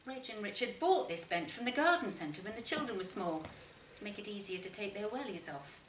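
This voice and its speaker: A woman reading literary fiction aloud as an expressive narrator, with deliberate phrasing and dramatic pauses. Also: mid-range voice. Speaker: short story reader. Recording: roomy, distant mic, faint noise.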